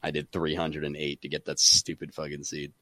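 A man chatting casually about games as a podcast guest, excitable and saying "dude" often. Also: rumbling low, a little fast, no room sound, clean studio sound. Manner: excitable, says "dude" a lot. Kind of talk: casual gaming chat.

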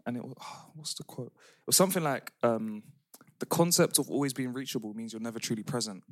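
A man's voice: medium pitch, fairly quick, no room sound, clean studio sound. A young man, chatting casually as a podcast co-host and telling stories with lots of slang. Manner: animated storytelling, laughing slang-heavy. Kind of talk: casual chat among friends.

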